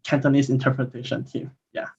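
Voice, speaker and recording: A man whose first language is Mandarin shares his thoughts at an online gathering. He stops and restarts often, filling gaps with small sounds. The audio is muffled, like a low-quality call. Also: flat delivery, fairly quick, creaky, darkish tone. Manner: hesitant, many fillers and restarts. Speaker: volunteer speaker. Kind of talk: online sharing talk.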